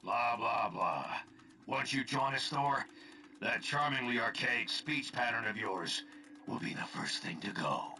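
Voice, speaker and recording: Menacing gravelly colonel. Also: male voice, medium pitch, expressive, measured pace. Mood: mocking. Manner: blunt, dismissive, threatening. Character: menacing mind-controlled male colonel, cold and impatient. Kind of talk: video game cutscene acting.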